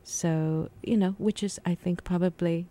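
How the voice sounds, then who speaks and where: A woman from Shanghai, China, speaking haltingly and reflectively as a guest on a radio interview, recorded in a radio studio. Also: lowish voice, fairly even intonation, mellow. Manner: halting, reflective, many pauses and restarts.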